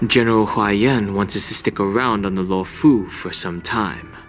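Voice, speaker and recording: A man playing a composed, analytical young traveller with a measured, serious manner in an anime game, over music. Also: lowish voice, natural voice. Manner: measured, explanatory. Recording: music behind the voice.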